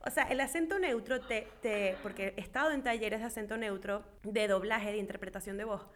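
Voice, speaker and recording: A woman's voice: mid-range voice, a little fast, a little hiss or hum. A woman from Venezuela, talking emphatically and reflectively in a casual conversation.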